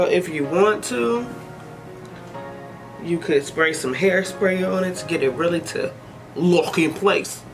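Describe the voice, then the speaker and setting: A woman's voice: fairly low pitch, vocal fry, poor audio. A woman giving a casual hair tutorial, chatty and joking, sniffly with a head cold. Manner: chatty, joking, talks to herself. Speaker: hair vlogger.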